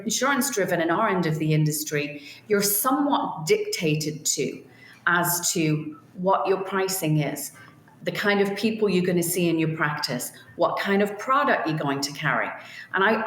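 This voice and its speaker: An older woman from Liverpool with a softened English accent, a business owner talking through her career in an interview, measured and thoughtful. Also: lowish voice, a little hiss or hum. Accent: softened English, Liverpool roots. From Liverpool, England. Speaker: optical store owner, guest. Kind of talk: remote interview conversation. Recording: roomy echo.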